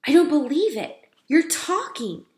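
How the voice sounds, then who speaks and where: A woman's voice: sits high, very animated, a little slow, a little mellow, pitched above the performer's own voice. A woman voicing a young summer-camp boy in a storybook, sulky and easily astonished, with emphatic delivery. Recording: roomy, echoing sound.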